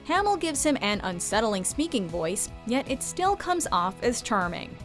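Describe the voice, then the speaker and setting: A woman's voice: a little high, big pitch swings, moderate pace, soft background music. A woman reading scripted narration as the presenter of a countdown list.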